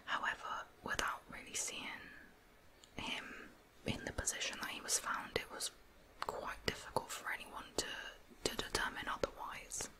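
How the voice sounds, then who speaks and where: A woman's voice: normal speed, low-quality recording. Whispering woman telling true crime. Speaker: ASMR creator narrating true crime. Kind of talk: whispered ASMR storytelling.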